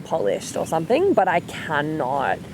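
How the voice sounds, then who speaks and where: A woman's voice: sits low, wildly swooping pitch, moderate pace, darkish tone, slight background noise. A woman hosting a podcast, chatting casually, emphatic and swearing freely. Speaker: podcast host.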